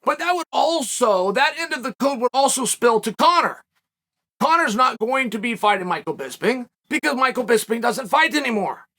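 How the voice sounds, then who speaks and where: A man in his forties hosting a solo commentary show, speculating and telling stories in an animated, emphatic way from a dark studio set. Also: very high-pitched, normal speed.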